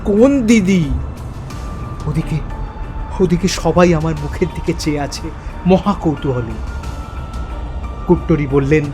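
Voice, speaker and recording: A man playing a bewildered narrator in a first-person horror story, speaking theatrically in halting, suspenseful repeated phrases. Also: fairly high pitch, music in the background, lightly acted. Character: bewildered man haunted by forgotten childhood memories.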